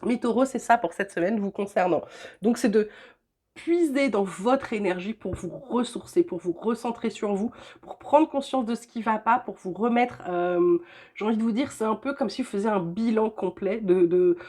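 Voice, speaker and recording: A woman's voice: medium pitch, normal speed, a little mellow. An older woman with a cold, reading weekly tarot guidance from home in a chatty, reassuring way with frequent hesitations. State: has a cold. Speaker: tarot reader.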